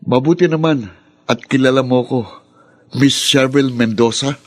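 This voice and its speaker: An actor playing a bitter, rebellious young son in a radio drama, with a scornful, confrontational delivery. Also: male voice, medium pitch, a little slow, no echo, close to an everyday voice. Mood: scornful menace. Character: Darwin, bitter rebellious spoiled young son, quick to rage.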